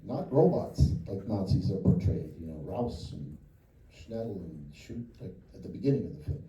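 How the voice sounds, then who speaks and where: A film director answering audience questions after a screening, thinking aloud with lots of fillers and restarts. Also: male voice, sits low, expressive, normal speed, dark tone, dull audio. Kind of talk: post-screening Q&A. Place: cinema auditorium. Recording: echoey theater from far off.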